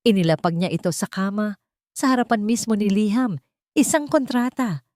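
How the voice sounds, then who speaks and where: A woman's voice: medium pitch, lively intonation, moderate pace, darkish tone, no room sound, studio quality. A woman with a Filipino accent reading a dramatic love story as scripted narration.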